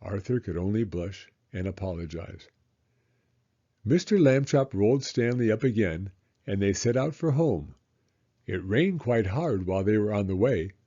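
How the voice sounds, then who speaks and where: Mellow, lively male children's storyteller. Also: on the low side, normal speed, muffled recording. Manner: expressive, acts out dialogue. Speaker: storyteller reading a children's book aloud. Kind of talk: scripted narration, children's read-aloud.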